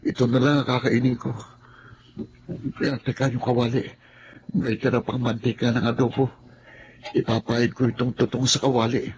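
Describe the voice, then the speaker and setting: A man's voice: mid-range voice, little pitch movement, normal speed, long pauses, background noise, lightly acted. An actor playing a poor, hungry, mistreated boy in a radio drama, plaintive and timid. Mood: hungry, worried. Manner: plaintive, timid, talking to himself. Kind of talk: dramatic first-person radio storytelling.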